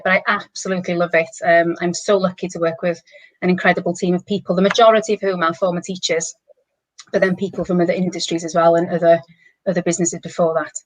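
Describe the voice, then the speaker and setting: A woman's voice: lowish voice, rough recording. A middle-aged woman with a Welsh accent, a native Welsh speaker, talking enthusiastically as a guest on an online panel, in long flowing runs. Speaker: managing director, guest. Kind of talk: casual online panel chat.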